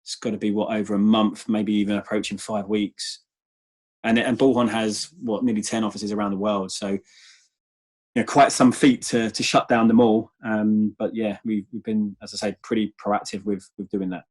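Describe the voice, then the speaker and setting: A man speaking as a guest in a conversational business interview, measured and often repeating words as he goes. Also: lowish voice, level tone, a little mellow. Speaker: interview guest, sales manager.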